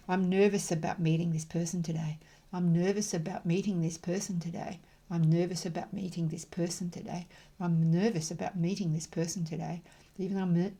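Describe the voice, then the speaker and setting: A middle-aged woman facilitating emotional freedom tapping, repeating affirmation phrases slowly and rhythmically between calm, chatty explanations. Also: fairly low pitch, breathy edge. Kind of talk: guided tapping demonstration.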